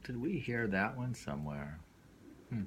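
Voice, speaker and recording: A man reading poems aloud with informal commentary, unhurried and musing, leaving pauses as he goes. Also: mid-range voice, expressive, noisy background. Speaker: poetry reader.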